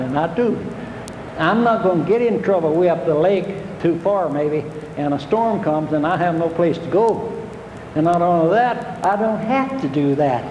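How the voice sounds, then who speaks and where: A man's voice: fairly high pitch, a little mellow, reverberant, in a large hall, slight background noise, low-quality recording. An older man, giving a plainspoken, homespun fishing talk to an audience, repeating his points. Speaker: fishing instructor. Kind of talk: folksy seminar talk.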